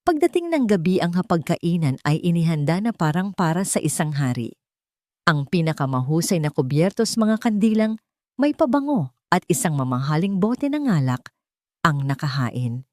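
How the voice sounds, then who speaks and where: A woman's voice: on the low side, big pitch swings, normal speed, darkish tone, no echo, studio quality. A woman with a Filipino accent reading a scripted dramatic story as its narrator.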